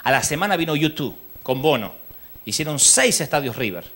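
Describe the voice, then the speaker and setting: A man with an Argentine accent preaching a sermon at a church congress, telling lively stories and mimicking the people in them. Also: fairly high pitch, normal speed. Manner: lively storytelling, mimics people in his anecdotes; rises to loud exhortation.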